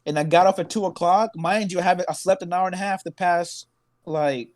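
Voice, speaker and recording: A man's voice: high voice, brisk, a little mellow, dry recording, clean studio sound. A young man from Tanzania, a podcast co-host telling stories in laid-back, slangy casual chat. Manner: laid-back storytelling, laughs often, slangy.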